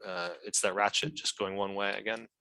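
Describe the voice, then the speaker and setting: A man's voice: on the low side, steady tone, a little fast, pushed and bright, clean tone, no room sound, poor audio. A man explaining things as a guest at an online meeting, thoughtful and often searching for words. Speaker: member of a whistleblower's legal team, guest speaker.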